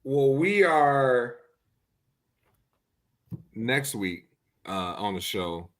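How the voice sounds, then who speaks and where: A man hosting a casual podcast, relaxed, with filler ums as he wraps up the show. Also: mid-range voice, very slow. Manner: relaxed, with filler ums while listing thank-yous. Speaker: podcast host. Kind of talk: casual podcast chat and sign-off.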